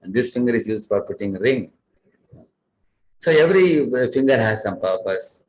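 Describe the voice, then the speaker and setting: A man's voice: medium pitch, animated, normal speed, a little mellow, a little hiss or hum, rough recording. A man giving a sermon full of stories, often asking the audience questions and adding "huh?". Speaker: visiting speaker giving a talk. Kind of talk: storytelling sermon.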